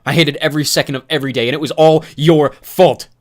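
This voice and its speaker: A man's voice: medium pitch, fast talker, natural voice. A man voicing a furious young man sliding into madness, ranting and screaming bitter accusations. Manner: screaming, ranting, spitting rage.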